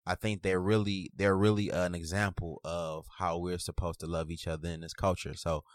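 A man with a low voice hosts a casual podcast conversation. He talks in slang and stresses his points by repeating phrases. The recording is close and dry. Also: flat delivery, normal speed, no echo. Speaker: podcast host.

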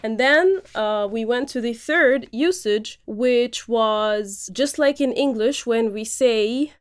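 Higher-voiced woman hosting a language podcast. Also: takes it slowly, clean tone. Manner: explanatory, with frequent fillers. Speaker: podcast host and Arabic teacher. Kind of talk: lecture-style podcast recap.

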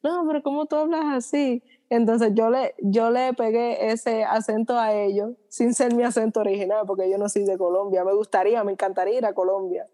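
A woman's voice: on the high side, brisk, few pauses. Laughing Puerto Rican young woman. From Puerto Rico. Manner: laughing, lively storytelling. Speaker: podcast guest, student. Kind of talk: casual group chat.

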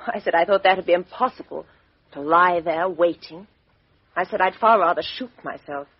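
An actress playing a composed, outspoken young Englishwoman with a crisp, frank upper-class delivery in a 1950s radio drama. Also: fairly low pitch, moderate pace, no room sound. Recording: dull 1950s radio recording.

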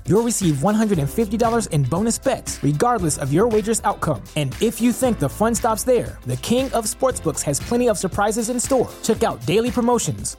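A woman with a medium-pitched voice delivers a scripted commercial read. Light music plays behind her. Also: warm tone. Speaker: advertisement voice-over.

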